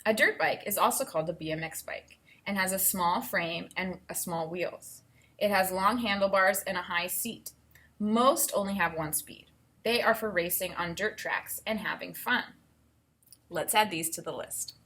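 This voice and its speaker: A woman teacher reading aloud to children and thinking out loud, explaining things step by step in an instructive way. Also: lowish voice, moderate pace. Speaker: elementary school teacher.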